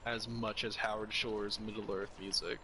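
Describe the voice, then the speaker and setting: A man's voice: fairly low pitch, level tone, unhurried, background noise. A young man in casual chat as a podcast co-host, rambling and opinionated, often restarting his sentences.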